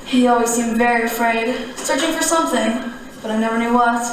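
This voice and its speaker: A woman playing an aloof, dreamy young artist in a stage drama, speaking in measured, formal, cryptic philosophical lines. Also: a little high, heavy reverb, recorded from a distance, slight background noise, lightly acted, rough recording. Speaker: amateur stage actor. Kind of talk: radio-style stage drama.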